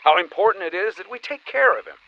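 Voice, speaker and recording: A man's voice: sits high, animated, quick pace, pushed and bright, heavily acted. A man playing a flaky, evasive spiritual boss in a comedy audio drama, earnest and breezy, heard through a phone filter. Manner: breezy, new-age earnest. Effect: phone call filter. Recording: dull band-limited phone call.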